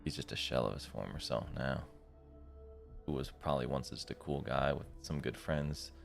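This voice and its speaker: A man with a very deep, gravelly voice giving casual, slangy reaction commentary as a streamer. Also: normal speed, faint music behind. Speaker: streamer playing a visual novel. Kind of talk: casual reaction commentary between read-aloud passages.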